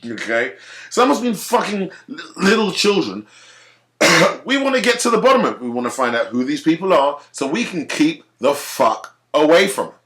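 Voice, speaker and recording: A man's voice: a little high, big pitch swings, normal speed, fairly bright tone. A man with a British accent, from Africa, ranting to camera in a heated, profane way and often restarting his sentences. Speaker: video commentator.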